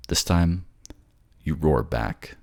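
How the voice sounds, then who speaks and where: A man's voice: very deep voice, expressive, slow, gravelly, darkish tone. A man narrating a fantasy drama in the second person, with a dramatic storytelling delivery.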